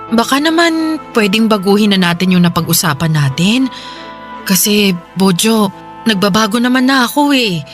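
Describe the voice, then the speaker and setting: Bright-voiced, pleading mother. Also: a little high. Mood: desperate, defensive. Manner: emotional, coaxing, imploring. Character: pleading, remorseful mother longing for her young child. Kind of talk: Tagalog radio drama acting.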